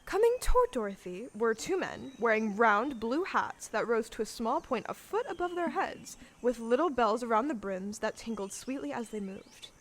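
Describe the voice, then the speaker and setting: A woman narrator giving a measured, expressive storybook narration in an audio play. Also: a little high. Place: live stage performance before an audience. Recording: background noise, live audience stage recording.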